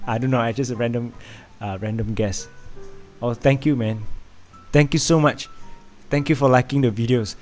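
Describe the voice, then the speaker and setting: A man's voice: mid-range voice, steady tone, normal speed, pauses often, darkish tone, soft background music. A man from Vietnam with a Singaporean English accent, hosting a casual live stream and chatting with viewers, laughing often and filling gaps with "uh" and restarts. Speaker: livestream host.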